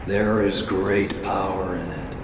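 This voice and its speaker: Here is a menacing, scholarly old spirit from a video game. He speaks very deliberately and with grave theatricality, as if every word were a dark lesson. The voice rings with roomy echo over noisy ambience. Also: sits low, flat delivery. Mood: ominous. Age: older. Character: cryptic, menacing, scholarly old male lost warrior spirit. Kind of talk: scripted dramatic game voice acting.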